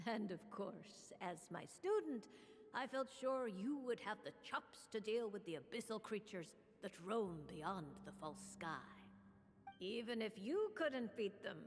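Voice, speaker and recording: A woman's voice: mid-range voice, animated, unhurried, faint music behind, lightly acted. A woman playing a smug, teasing witch mentor in a video game, boastful and theatrical, vain about her own genius. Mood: smug explaining. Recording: long echoing reverb on voice.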